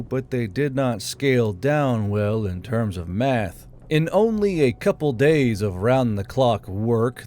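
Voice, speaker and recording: A man's voice: medium pitch, a little mellow. A man reading scripted fantasy fiction as an audiobook narrator. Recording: music behind the voice. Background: background music.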